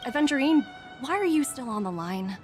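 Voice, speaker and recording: A woman's voice: sits high, animated, a little fast, soft background music. An actress playing a proud, guarded young businesswoman in a video game, curt and easily irritated. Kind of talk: dramatic game dialogue.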